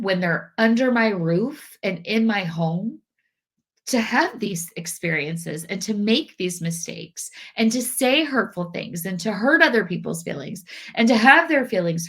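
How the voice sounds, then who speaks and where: A woman's voice: medium pitch, fairly bright tone. A middle-aged woman hosting a parenting podcast, a little nasal and congested from a cold, talking earnestly with many restarts and repeated phrases. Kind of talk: casual talk to camera.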